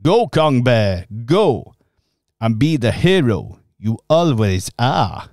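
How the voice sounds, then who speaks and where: A man acting in a local radio pantomime, earnest and expressive in his storytelling. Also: medium pitch, takes it slowly, dry recording, clean studio sound. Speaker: pantomime narrator and actor.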